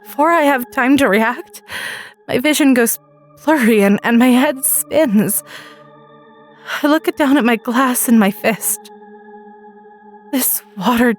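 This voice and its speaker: A woman's voice: fairly high pitch, takes it slowly, pauses often, faint music behind. A woman reading a first-person story aloud as a dramatic narrator.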